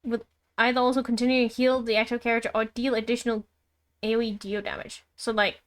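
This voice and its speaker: A woman game streamer chatting casually and reading game text aloud, with excitable, giggly reactions. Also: fairly high pitch, fast talker.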